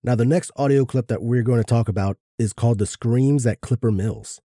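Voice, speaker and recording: A man's voice: medium pitch, brisk, warm tone, husky, no room sound, clean studio sound. A man co-hosting a casual chat and reading out research. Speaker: podcast co-host.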